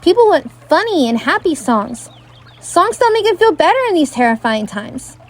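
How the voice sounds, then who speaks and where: A woman's voice: very high-pitched, big pitch swings, normal speed. A woman acting a young travelling bard, wry, weary and dryly exasperated, in an audio drama.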